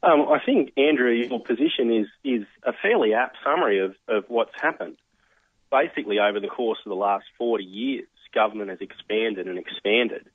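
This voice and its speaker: Measured Australian man on a phone line. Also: lowish voice, slightly rough, no echo. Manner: measured, analytical. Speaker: think tank research fellow, interview guest. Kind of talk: explanatory radio interview. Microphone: telephone. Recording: tinny narrow phone line.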